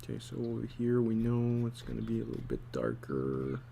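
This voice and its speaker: A man's voice: medium pitch, very animated, warm tone. Man teaching informally over noisy hum. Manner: halting, repeats phrases while demonstrating. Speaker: storyboard artist teaching. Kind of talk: informal drawing tutorial, thinking aloud while working. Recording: clearly noisy background with hum.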